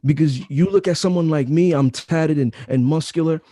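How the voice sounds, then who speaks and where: A man with a deep, mellow, dark voice talks as a guest in a casual interview. He is emphatic and slangy and repeats phrases for weight. His voice sounds dry and close. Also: normal speed, no room sound. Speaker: author and guest. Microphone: home mic setup.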